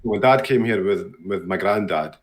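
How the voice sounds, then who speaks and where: A man's voice: sits low, moderate pace, a crowd in the background. A man from Dundee with a Scottish accent, chatting casually as an interview guest, often repeating words hesitantly. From Dundee, Scotland. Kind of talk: casual chat.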